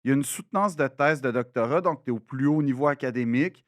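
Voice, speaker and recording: A man with a Québécois French accent, telling a story in a casual podcast chat, animated and full of restarts. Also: medium pitch, fast, no room sound, studio quality. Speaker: podcast co-host. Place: recording studio.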